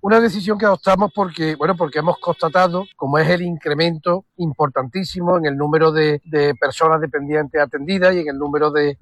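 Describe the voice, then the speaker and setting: A man giving a councillor's statement, halting and repeating words as he goes. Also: fairly high pitch, steady tone, a bit bright, dry recording. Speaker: town councillor. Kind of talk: prepared public statement.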